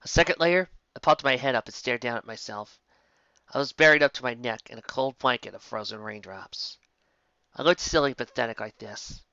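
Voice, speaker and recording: Story reader: bright tone, dry, dull lo-fi home recording. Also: medium pitch, no room sound. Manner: plain, steady reading with small stumbles. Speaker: fan fiction reader. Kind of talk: first-person story reading.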